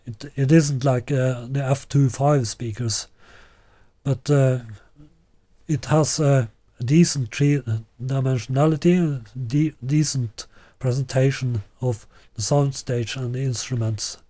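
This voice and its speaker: A man in his sixties presenting a product in an unscripted way, halting and deliberate with long hesitations. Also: medium pitch, steady tone, dark tone, no echo. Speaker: loudspeaker designer presenting a product. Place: small living room, indoors.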